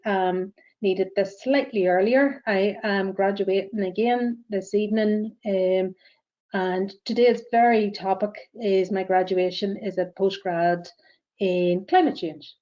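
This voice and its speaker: A woman with a Northern Irish accent presenting a webinar as a greenhouse gas verifier, speaking in a measured way with frequent ums and uhs. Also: mid-range voice, mellow, highs cut off. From Northern Ireland.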